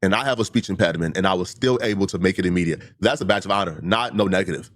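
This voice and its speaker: A man's voice: deep voice, rapid-fire, fairly bright tone. A man who stutters, a livestreamer giving a heated monologue to his critics, full of repeated points and rhetorical questions.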